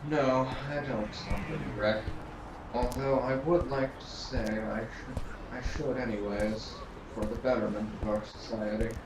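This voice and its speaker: A man's voice: mid-range voice, steady tone, a little slow, lightly acted. A man playing an earnest, idealistic young revolutionary councillor in an audio drama, polite and questioning. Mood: troubled, principled. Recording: roomy, distant mic with clear noise.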